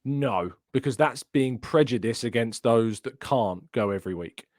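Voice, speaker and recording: A man's voice: medium pitch, normal speed, a little mellow, no room sound, clean studio sound. A male host arguing his point insistently in a heated debate. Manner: argumentative, insistent. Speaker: show host.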